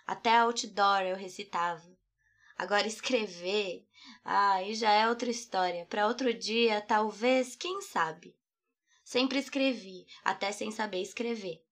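A woman's voice: medium pitch, fairly bright tone. A young Brazilian woman, an artist and poet, narrating her own thoughts in a reflective, playful, poetic way. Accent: Brazilian Portuguese. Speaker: podcast host, artist and poet. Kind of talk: scripted personal narration.

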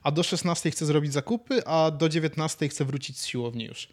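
A man's voice: fairly high pitch, a little fast. A man, talking casually as a podcast co-host. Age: 20s. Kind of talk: casual chat.

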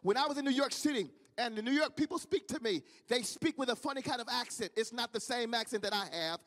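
High-voiced Midwestern preacher in echoing hall. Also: male voice, expressive, quick pace, fairly bright tone. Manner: fervent, repetitive preaching cadence. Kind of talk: sermon. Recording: long reverb of a large hall.

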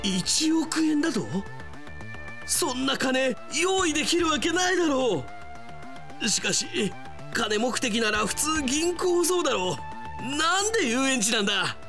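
Excitable middle aged park manager in a radio drama, voice swings all over, bit of music behind. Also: male voice, very high-pitched, unhurried, full character voice. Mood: alarmed. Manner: expressive, talking to himself aloud. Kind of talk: Japanese radio drama acting.

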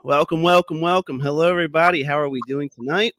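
A man's voice: on the high side, steady tone, fast, loud music behind the voice. A male podcast host getting over a cold, a bit sniffly, talking in an upbeat, chatty way with lots of fillers. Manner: upbeat, chatty, many ums and uhs. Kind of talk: casual podcast chat.

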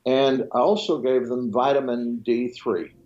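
A man's voice: mid-range voice, fairly even intonation, a little slow, darkish tone. Man with a dull remote line. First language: French. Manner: explanatory, with frequent restarts and trailing lists. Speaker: physician guest. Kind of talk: interview answers on a podcast.

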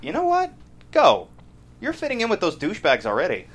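A man at a table read playing an excitable, showy teenage boy with dramatic, slangy delivery. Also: sits high, fairly quick, slightly rough, full character voice. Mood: exasperated.

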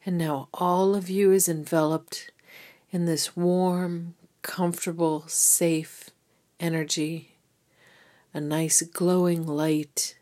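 A woman gently leading a guided meditation with soothing, unhurried instructions. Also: lowish voice, level tone. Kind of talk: guided body scan meditation.

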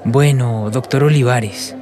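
A man's voice: mid-range voice, fairly even intonation, slow, crowd noise, natural voice. A man playing an earnest, polite young student with a Colombian accent in an audio drama. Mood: polite, hurried. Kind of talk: audiobook radio-drama acting. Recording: music behind the voice.

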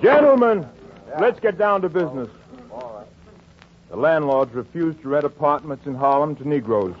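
High-voiced, earnest meeting chairman played by an actor. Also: expressive, normal speed, a crowd in the background, lightly acted. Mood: businesslike. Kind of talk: 1940s radio acting. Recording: noisy, phone-like 1940s radio recording.

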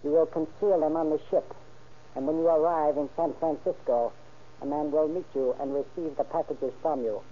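A man plays a stern, methodical smuggling boss in a vintage radio crime drama. His voice sits fairly high, and he speaks formally and deliberately with authority. The sound is thin and muffled, like an old broadcast. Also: fairly even intonation, natural voice. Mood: formal, secretive.

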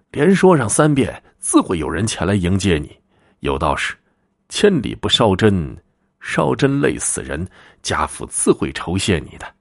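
A male storyteller acts out a friendly merchant from a folk tale. He confides and explains in a folksy, measured way, his low voice moving freely up and down in a dry, close sound. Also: no echo, full character voice. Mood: grateful. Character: friendly merchant Mr. Fan, confiding fellow townsman giving directions.

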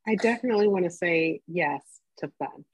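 A woman's voice: fairly low pitch, animated, brisk, husky, dry recording. A middle-aged woman co-hosting a casual podcast chat, candid and emphatic, swearing offhandedly. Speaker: podcast co-host. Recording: dull, dry lo-fi sound.

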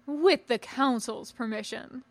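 A woman's voice: fairly high pitch, unhurried, dry recording, lightly acted. Woman as pompous, overbearing senior male master. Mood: smug. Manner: pompous, courtly. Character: overbearing, smug senior male Jedi master, falsely gracious.

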